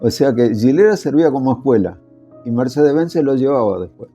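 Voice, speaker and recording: A man's voice: mid-range voice, lively intonation, a little fast, mellow, faint music behind. An older Italian-born man recalling his working years in a casual interview, hesitant and full of fillers. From Italy. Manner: hesitant, many fillers and restarts. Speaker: interviewee, former factory worker.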